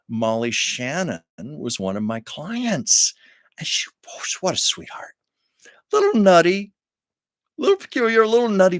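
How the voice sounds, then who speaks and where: A man's voice: a little high, fairly bright tone, dry recording, studio quality. A man hosting his own livestream from home, chatting casually with viewers and laughing often. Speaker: livestream host. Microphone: camera on a livestream setup.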